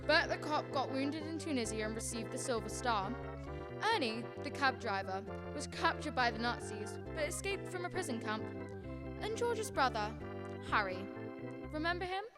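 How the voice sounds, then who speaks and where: A woman's voice: sits high, animated, normal speed, over loud music. A young woman playing a patient, authoritative senior angel telling a story in a student radio drama. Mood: storytelling. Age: young adult.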